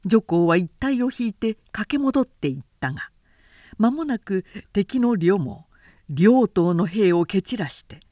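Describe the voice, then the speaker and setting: A woman who works as a narrator reads a literary tale dramatically, in a measured, carefully articulated way. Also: mid-range voice, animated, warm tone, no room sound, studio quality. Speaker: professional narrator.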